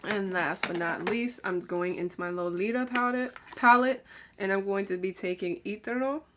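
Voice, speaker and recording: A woman's voice: mid-range voice, normal speed, a little hiss or hum. Chatty young woman doing makeup. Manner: chatty, rambling, lots of "like" and "um"; talks while applying makeup, drifts quieter then brighter. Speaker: beauty vlogger. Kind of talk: casual get-ready-with-me makeup chat.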